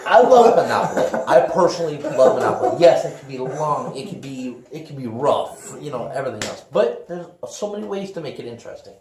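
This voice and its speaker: A man's voice: mid-range voice, very animated, fairly quick, hardly any pauses. Man chatting in a very echoey room. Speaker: podcast co-host. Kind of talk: casual video podcast chat. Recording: echoey room with faint noise and clicks.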